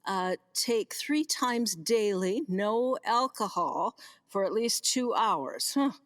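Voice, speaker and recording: A woman's voice: medium pitch, expressive, takes it slowly, no echo, clean studio sound. A woman acting in a radio play as a practical, trusting, easily flustered vineyard owner. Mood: reading aloud, musing.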